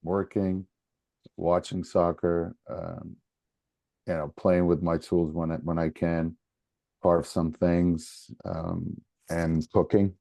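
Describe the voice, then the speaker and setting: A man from Portugal with a Portuguese accent, talking casually as a guest in a hesitant, halting way. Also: low-pitched, flat delivery, creaky, mellow, no echo. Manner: hesitant, halting, repeating words. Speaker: podcast guest, soccer coach. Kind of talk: casual conversation.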